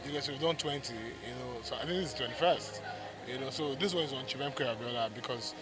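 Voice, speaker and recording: A man's voice: medium pitch, fast. A man with a Nigerian accent giving an informal interview as a theatre producer, emphatic and often repeating himself. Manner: emphatic, repeats words and checks with "you get". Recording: loud music behind the voice.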